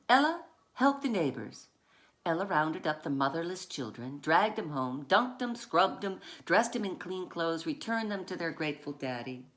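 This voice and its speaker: A woman who writes children's books, reading aloud and chatting in a storytime style, with deliberate, emphatic phrasing. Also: lowish voice, a bit bright. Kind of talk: storytime read-aloud with informal asides.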